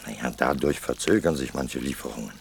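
A man's voice: rumbling low, brisk, creaky, slight background noise. A man acting in a radio play as a deferential businessman, polite, insinuating and persuasive. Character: deferential, wheedling Mexican businessman pressing a politician.

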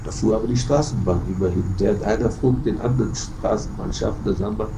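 An older man reminiscing casually, in a rambling, halting way that repeats words. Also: gravelly. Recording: noisy archive interview tape, rumbling background.

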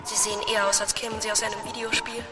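A woman playing a guarded scientist in a radio drama, speaking in a measured, formal, restrained and quietly persuasive way. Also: fairly high pitch, little pitch movement, loud music behind the voice, lightly acted. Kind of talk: German radio drama acting.

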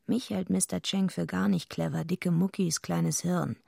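A woman's voice: on the low side, fairly even intonation, unhurried, vocal fry, a little mellow, husky, dry recording, studio quality, heavily acted. An actress playing a tough, streetwise young woman, narrating a radio drama in a wry, matter-of-fact way.

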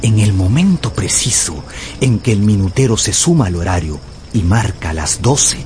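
A man's voice: fairly low pitch, animated, normal speed, darkish tone. A man narrating an adventure novel as dramatic scripted storytelling for radio. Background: music.

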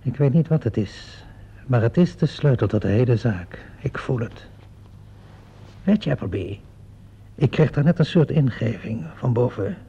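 A theatrical, husky police inspector. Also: male voice, medium pitch. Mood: musing, confident. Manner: theatrical, teasing, politely insistent. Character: shrewd, theatrical police inspector, smugly sure of himself. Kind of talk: Dutch radio play acting. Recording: muffled old radio play recording.

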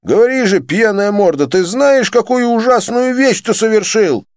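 A man's voice: sits high, animated, normal speed, no echo, studio quality, pitched above the performer's own voice. A man doing a barking angry young man. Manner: loud, harsh, accusing.

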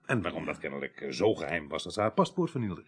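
An actor playing a brisk, businesslike police inspector in a 1980s radio crime drama, giving impatient orders in speech with a husky voice. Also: male voice, deep voice, very animated, exaggerated voice. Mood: methodical.

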